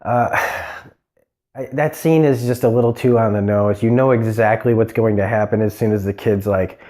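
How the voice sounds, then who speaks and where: A man's voice: on the low side, steady tone, moderate pace, a little mellow. A man hosting a TV review show, talking casually and rambling with many fillers and restarts. He has a cold and sounds nasal. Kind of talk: casual unscripted episode review.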